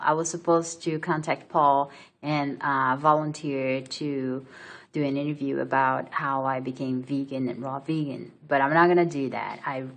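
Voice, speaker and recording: Low-voiced woman with a Brazilian accent. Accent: Brazilian Portuguese. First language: Portuguese. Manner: hesitant, many fillers and restarts. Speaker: vlogger. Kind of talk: casual personal story to camera.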